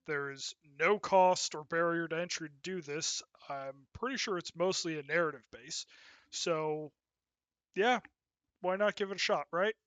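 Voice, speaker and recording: A man's voice: fairly high pitch, fairly bright tone, no room sound. A man giving casual, unscripted game commentary as a streamer, rambling and thinking aloud as he goes.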